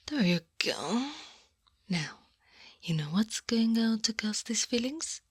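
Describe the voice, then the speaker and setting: A female holiday monster, acted by a woman, speaks slowly in a breathy near-whisper, right up close and dry on the microphone. She is knowing and firm yet tender, coaxing and soothing in an ASMR roleplay. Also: mid-range voice, no echo. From Italy. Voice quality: soft, breathy, often whispered. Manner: slow, gentle, deliberate coaxing.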